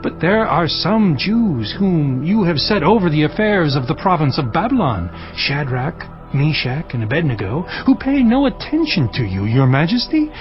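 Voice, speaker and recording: A formal, accusing court astrologer, voiced by a man, speaks high and with great animation. It is scripture audio drama, with faint music behind. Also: very animated, normal speed, highs cut off. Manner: formal, denouncing. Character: accusing male court astrologer addressing his king.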